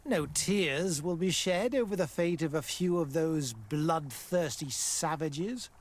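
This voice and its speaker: A man's voice: fairly high pitch, expressive, slow pace. An actor playing a formal, pompous male scientist who runs a colonial laboratory in a fantasy game. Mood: coldly dismissive. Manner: formal, pompous, loftily dismissive. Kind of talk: scripted fantasy game acting.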